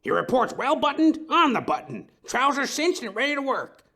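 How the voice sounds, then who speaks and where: A man's voice: very high-pitched, big pitch swings, moderate pace, gravelly, pushed and bright, full character voice. A man playing a pompous, impatient businessman in his sixties who barks rudely in a detective dramedy audio play. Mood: barking, impatient. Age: 60s. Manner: barking, pompous, fussy.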